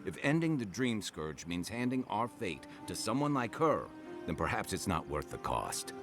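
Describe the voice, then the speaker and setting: A man's voice: sits low, big pitch swings, normal speed, over music. A man acting a principled, troubled companion in a game cutscene, earnest, blunt and confrontational. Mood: challenging, troubled.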